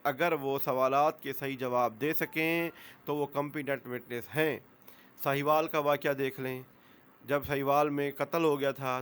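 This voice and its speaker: A man's voice: on the high side, fairly bright tone, clean tone. A man with a Pakistani accent giving an explanatory law lecture, repeating points, posing questions and mixing in English legal terms.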